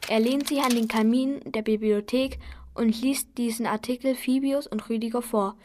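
A woman's voice: on the high side, fairly even intonation, normal speed, darkish tone. A woman narrating a scripted children's radio play as a storyteller.

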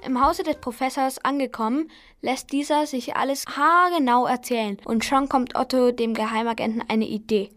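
Woman narrator, slightly high voice, scripted storybook narration, children's radio play. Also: steady tone, normal speed, a bit bright, faint music behind.

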